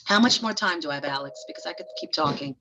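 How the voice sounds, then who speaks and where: A woman family lawyer, giving a frank, brisk presentation to business owners. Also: fairly low pitch, lively intonation, pushed and bright, slight background noise.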